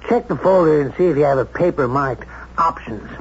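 A man's voice: a little high, brisk, lightly acted. A man acting a brash, domineering businessman in a 1970s radio drama, commanding, teasing and cynical. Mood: brisk. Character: brash, domineering high-stakes businessman, sardonic boss. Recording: muffled 1970s radio broadcast, some hiss.

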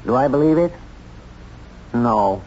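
Through the dull, slightly hissy sound of a 1970s radio drama comes a small-town American sheriff. He is plain-spoken and folksy, halting when he opens up, candid and unsure of himself, in a medium-pitched voice. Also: expressive, darkish tone. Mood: frank, rueful. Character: plain-spoken, self-doubting, lovestruck small-town sheriff, adult man.

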